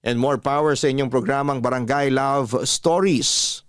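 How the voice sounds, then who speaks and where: A man hosting a radio love-story program, reading a listener's letter aloud in a slow, measured, heartfelt way. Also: medium pitch, steady tone, smooth, dry recording, clean studio sound. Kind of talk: emotional radio storytelling narration.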